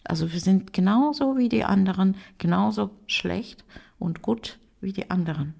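A woman's voice: mid-range voice, normal speed, gravelly, darkish tone. A middle-aged woman from Russia with a Russian accent, a language teacher reflecting in an interview and sometimes searching for words.

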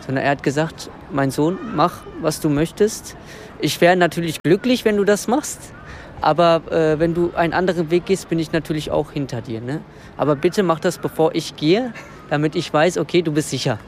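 A man's voice: fairly high pitch, normal speed, slight background noise. A young man from Germany, speaking reflectively and searching for words in an interview. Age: young adult.

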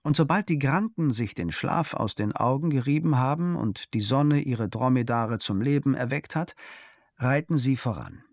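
A man calmly reading a travel diary aloud in a measured storytelling manner, recorded close to a studio mic. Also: mid-range voice, no echo. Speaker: narrator.

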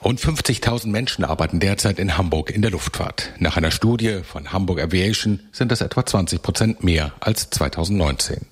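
A man with a low voice reads a radio report in a formal, even way. His tone is slightly husky. The report is clearly scripted and steady. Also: fairly quick, few pauses.

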